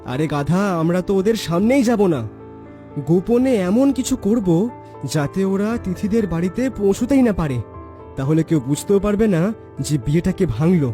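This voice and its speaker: Crafty young man, rather high and mellow acted. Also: expressive, normal speed, soft background music. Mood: scheming, teasing. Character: crafty, confident young male best friend, bossy schemer. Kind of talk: dramatic cartoon voice acting.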